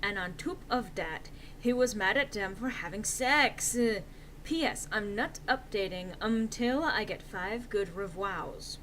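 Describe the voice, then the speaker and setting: A woman narrates a comic dramatic reading in a bright, forceful voice. The delivery is mock-serious and emphatic, with lively swings in pitch. There is faint noise on the recording. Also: unhurried.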